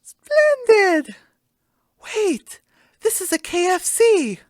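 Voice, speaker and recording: A woman voicing an excitable, easily shocked chicken in a radio comedy sketch, exclaiming melodramatically. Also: very high voice, very slow, no echo, clean studio sound, voice pushed up, exaggerated voice. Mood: eager.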